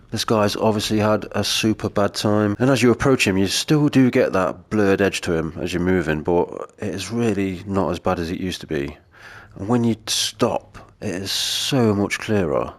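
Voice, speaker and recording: A British man, voice a little on the low side and thick with a cold, talks through his gameplay. He is chatty and keeps gushing over the details he sees. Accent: British English. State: congested from a cold. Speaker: gaming video creator. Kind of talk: gameplay commentary.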